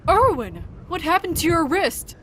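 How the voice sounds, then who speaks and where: A woman's voice: very high-pitched, very animated, a little slow, a little hiss or hum, full character voice. A woman playing a level-headed, outspoken scientist in an audio drama, frank and reasoning. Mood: concerned. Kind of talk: natural audio drama acting.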